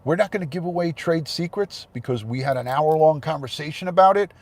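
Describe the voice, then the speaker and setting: A man's voice: a little high, brisk, darkish tone. Stuffy-nosed man hosting a livestream. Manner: thanks chat viewers by name, chatty and rambling. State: congested with a head cold. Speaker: livestream host. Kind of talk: casual livestream chat.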